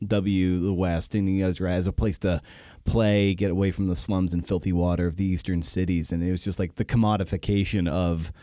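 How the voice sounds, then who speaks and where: A man's voice: sits low, little pitch movement, normal speed, mellow, no room sound, clean studio sound. A man hosting a podcast in a casual interview chat, with informal, rambling phrasing. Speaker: podcast host.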